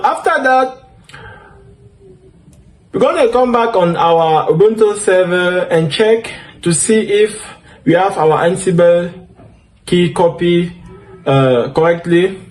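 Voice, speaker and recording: A man's voice: fairly high pitch, darkish tone. A man with a French accent, French his first language, walking through a tech tutorial step by step and often correcting himself. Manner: talks through each step, many fillers and self-corrections. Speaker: DevOps instructor. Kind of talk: screen-share tutorial walkthrough.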